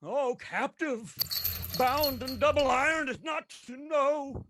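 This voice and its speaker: An actor playing the tormented, remorseful ghost of a dead miser in a radio play, declaiming mournfully with moaning, drawn-out cries. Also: male voice, very high voice, background noise, heavily acted. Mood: anguished remorse. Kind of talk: theatrical radio play acting.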